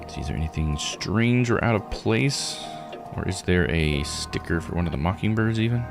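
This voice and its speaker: Low-voiced man rambling through game commentary. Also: lively intonation, moderate pace, gravelly, over music. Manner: rambling, explanatory, thinking aloud. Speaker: streamer playing and recapping a visual novel. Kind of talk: casual live let's-play commentary.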